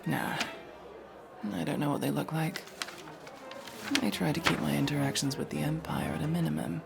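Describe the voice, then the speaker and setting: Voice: medium pitch, fairly even intonation, normal speed, creaky. A performer voicing a guarded, sardonic masked elf rogue in a casual ASMR roleplay, speaking to the listener with hesitant asides. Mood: wry, casual. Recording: music playing behind the voice.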